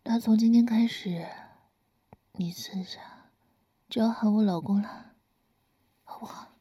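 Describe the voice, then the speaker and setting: A woman voicing a teasing, doting older lover in a whispered audio roleplay, speaking in a soft, coaxing, breathy whisper. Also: mid-range voice, unhurried. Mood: tender, coaxing.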